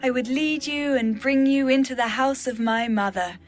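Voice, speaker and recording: A woman playing a tender, devoted young bride in love, in a measured, poetic dramatised Bible reading. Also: high voice, faint music behind, close to an everyday voice. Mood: longing.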